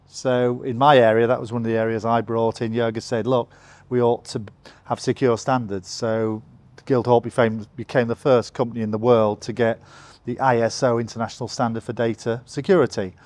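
An older man talks in a steady, mid-pitched voice, explaining things in a measured way and leaning on "you know". The sound is dry and close, with no room ring. He sits in a bright office lounge. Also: dry recording. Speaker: company executive, interviewee. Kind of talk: conversational interview. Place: bright open office lounge with large windows.

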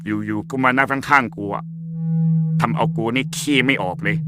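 A man's voice: mid-range voice, fairly even intonation, moderate pace, lightly acted. A man voicing a crude, bragging schoolboy in a cartoon, telling a tale in blunt, casual slang. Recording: loud music over the voice. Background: loud music.

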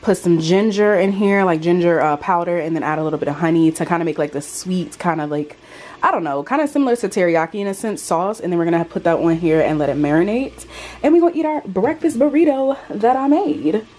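A woman in her twenties chatting casually to her camera at home, with an animated, emphatic, slangy way of talking. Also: fairly low pitch, fairly quick. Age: 20s. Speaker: lifestyle vlogger. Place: indoors at home, kitchen and bedroom.

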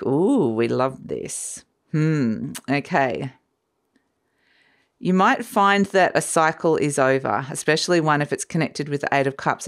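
Woman tarot reader, low and slightly croaky from a cold, musing, chatty delivery. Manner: warm, musing, trails off and restarts, light laughs. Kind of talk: casual spoken tarot reading. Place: sunny room by a window, indoors.

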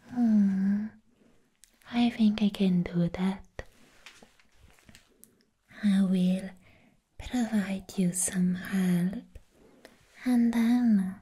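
A woman acts out a sweet young female vampire with a teasing, hungry streak, in an ASMR roleplay. She speaks in a very breathy, soft whisper. Her phrases are drawn out very slowly and stay in a narrow, mellow range of pitch. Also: full character voice. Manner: slow, lingering, teasing phrases.

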